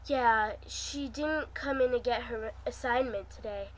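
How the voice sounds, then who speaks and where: A woman's voice: fairly high pitch, unhurried, lightly acted, low-quality recording. Woman reading as anxious, caring young male colleague. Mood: nervous.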